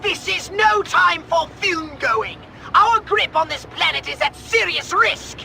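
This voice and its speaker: A scheming, imperious alien commander for a game. The voice is high and creaky and swoops theatrically. Every order comes out pompous and precise. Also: male voice, lively intonation, a little slow, a little hiss or hum, heavily acted. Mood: exasperated. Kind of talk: video game cutscene acting. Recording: loud music behind the voice.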